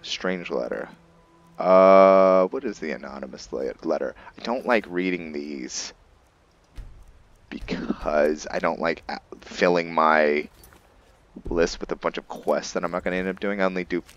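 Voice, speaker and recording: A man with a very low voice talks through a roleplaying game in a casual, hesitant way, thinking aloud about his character. The recording is slightly dull and lo-fi. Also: unhurried. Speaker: gaming streamer and roleplayer. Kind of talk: casual roleplay gameplay commentary.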